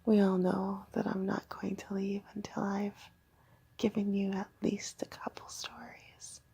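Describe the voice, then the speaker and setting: Sinister, teasing fox girl for ASMR horror roleplay, woman performer: husky, whispered, unhurried delivery. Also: medium pitch, monotone, mellow, exaggerated voice. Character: creepy, teasing fox girl creature held in containment.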